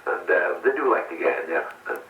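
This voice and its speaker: An older man chatting casually and rambling in an unhurried way over CB radio. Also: sits low, lively intonation, roomy sound, phone-quality sound. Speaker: amateur CB radio operator. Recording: CB radio transmission, boxy and distant.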